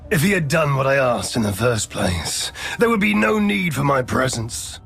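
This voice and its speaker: An actor playing a haughty, wrathful Sith lord in a video game, with an imperious, menacing, theatrical delivery over music. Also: male voice, mid-range voice, sing-song, moderate pace, vocal fry, a bit bright, husky, heavily acted. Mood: scornful, angry. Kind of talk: dramatic video game acting.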